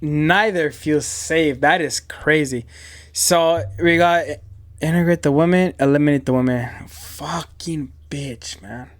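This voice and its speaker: A man with a slightly higher voice gives casual reaction commentary. He talks informally and slangily, as the host of his own video. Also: takes it slowly.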